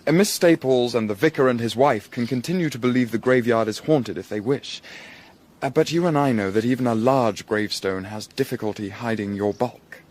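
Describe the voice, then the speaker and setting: A man's voice: medium pitch, a little mellow, close to an everyday voice. Male actor for young Victorian Englishman, period audio drama. Shrewd, discreet, formal and drily ironic. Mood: dry, assured. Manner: measured, formal, dryly ironic.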